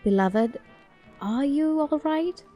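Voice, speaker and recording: A woman's voice: high-pitched, animated, slow, mellow. A woman playing a devout, tender, worried partner in an audio drama, with faint music underneath. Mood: tender concern. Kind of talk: audio drama acting with narrated action.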